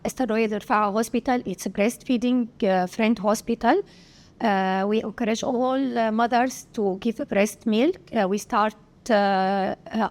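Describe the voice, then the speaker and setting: A woman from Syria with an Arabic accent, a pediatrician answering interview questions haltingly, with many pauses and filler sounds. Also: fairly high pitch, darkish tone. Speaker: pediatrician guest.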